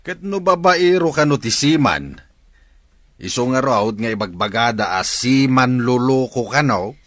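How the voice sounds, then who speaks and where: A man's voice: mid-range voice, expressive, unhurried, dry recording. A man playing a stern, distrustful father in a radio drama, with a Filipino accent. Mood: distrustful, scolding.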